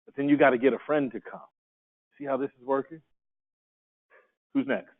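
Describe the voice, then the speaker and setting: A man's voice: mid-range voice, steady tone, normal speed. A man facilitating a workshop, speaking in an upbeat, coaxing way and putting questions to the room. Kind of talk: leading a group discussion. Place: conference room. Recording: tinny phone-like sound.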